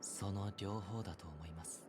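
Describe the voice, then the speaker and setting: A man's voice: low-pitched, fast talker, noisy background, lightly acted. An actor playing a reserved, philosophical young man in an anime-style game, formal, courteous and melancholy. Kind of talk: Japanese anime-game voice acting.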